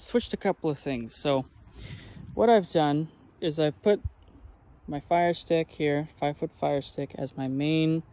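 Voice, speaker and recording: A man's voice: fairly high pitch, a little mellow. A man giving a casual, explanatory walkthrough as a vlogger showing off his gear. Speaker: vlogger showing his vehicle radio setup. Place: outdoors by a truck, then inside it. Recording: clear background noise, vehicle ambience.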